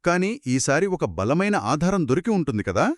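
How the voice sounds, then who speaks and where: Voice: mid-range voice, big pitch swings, brisk, dry recording, clean studio sound. A curious, engaged co-host in a conversational story dialogue, prompting the storyteller with questions and short reactions.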